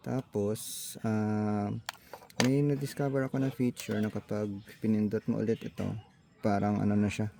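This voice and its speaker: A man's voice: lowish voice, little pitch movement, moderate pace, gravelly, dark tone. A man with a Filipino accent giving a casual hands-on gear demonstration, thinking aloud in a tentative way. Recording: faint background noise.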